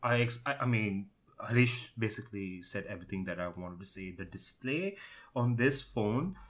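Man, slightly low voice, casual tech podcast talk, emphatic, opinionated, repetitive for stress. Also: expressive, moderate pace, a bit bright.

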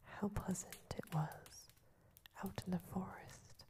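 A woman's voice: mid-range voice, steady tone, slow, lightly acted. A woman whispering the part of a lonely, wistful fir tree that looks back on its happier days in a storybook reading.

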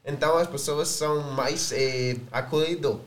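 A man's voice: fairly high pitch, steady tone, normal speed. A man from South Carolina in casual chat, hesitant and searching for words. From South Carolina, United States.

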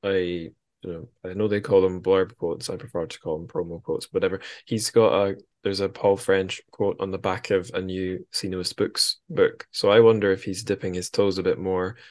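Low-voiced man hosting a casual podcast. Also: little pitch movement, normal speed, a little mellow. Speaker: podcast host and interviewer. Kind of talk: casual conversational interview.

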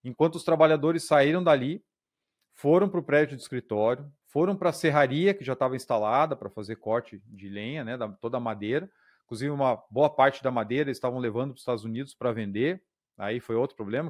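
A man's voice: mid-range voice, fairly quick. A man hosting a podcast, telling a history story in a casual, conversational way with frequent tag questions. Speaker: podcast host.

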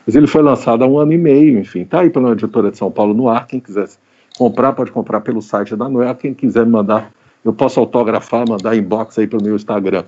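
A man with a mellow, darker voice talks casually as a guest, looping back over his words and leaning on fillers. The sound is a bit dull, as if carried over a video call. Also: medium pitch, fast talker. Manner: rambling, repeats words with many fillers. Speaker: guest, writer and journalist. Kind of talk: casual interview chat. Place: home during pandemic.

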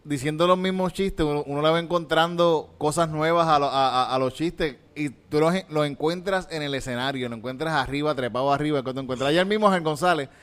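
Easygoing Puerto Rican man, higher pitch. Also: a little fast, hardly any pauses. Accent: Puerto Rican Spanish. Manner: agreeable, relaxed, swears. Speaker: comedian podcast co-host. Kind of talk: casual podcast chat.

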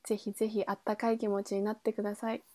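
A woman's voice: mid-range voice, little pitch movement, fast talker, a little mellow, slight background noise. A woman co-hosting a radio-style podcast in a casual, conversational style. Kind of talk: casual conversational book chat.

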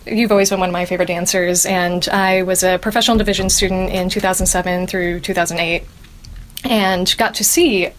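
A woman's voice: fairly low pitch, steady tone, a little fast, a little hiss or hum, poor audio. A young woman hosting a podcast interview, speaking enthusiastically and laughing often. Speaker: interviewer host.

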